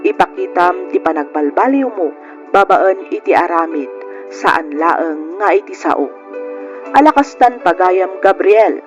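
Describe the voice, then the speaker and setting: A man with an Ilocano accent narrating a radio drama and reading a letter in a solemn, measured way. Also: high-pitched, dull audio.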